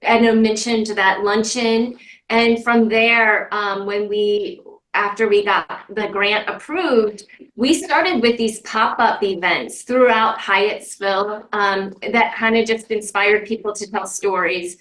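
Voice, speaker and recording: A woman's voice: mid-range voice, fairly even intonation, slow pace, fairly bright tone. A woman informally explaining how a project came about, as its organizer, in conversational phrases. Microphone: off-camera, leaning toward a shared microphone. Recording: roomy, distant from the microphone.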